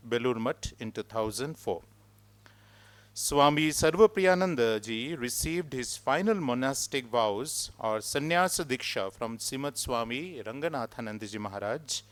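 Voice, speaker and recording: A man, hosting a spiritual retreat and giving a loud, measured, ceremonious introduction of the guest speaker in an ashram hall. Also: medium pitch. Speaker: host of the retreat.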